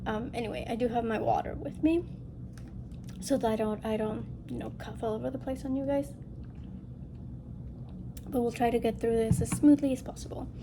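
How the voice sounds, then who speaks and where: A woman's voice: fairly high pitch, steady tone, normal speed, pauses often. A woman with a congested, nasal voice from a lingering illness, chatting casually and a little ramblingly to viewers as she hosts a craft show-and-tell video. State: getting over a respiratory illness. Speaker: host of a cross-stitch video channel. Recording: noticeable background noise.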